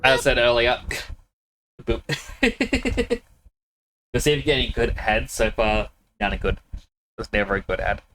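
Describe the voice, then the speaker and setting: A man's voice: mid-range voice, expressive, a little slow, long pauses, a bit bright. A man streaming a video game, chatting casually with viewers, laughing often and a little sniffly with a cold. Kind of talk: casual live-stream chat.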